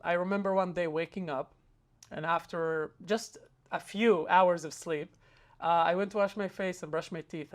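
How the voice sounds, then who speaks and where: A young man from Israel, an interview guest telling a personal story in an earnest way, with frequent hesitant fillers and restarts. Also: sits high.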